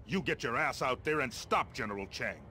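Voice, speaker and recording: A middle-aged American colonel in a military video game. He is gruff and impatient, giving orders in a medium-pitched voice with blunt authority and a wry edge. Background ambience noise sits behind him. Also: expressive, moderate pace, pushed and bright. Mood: businesslike, urgent. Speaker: military officer giving mission briefings. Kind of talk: video game mission briefing dialogue.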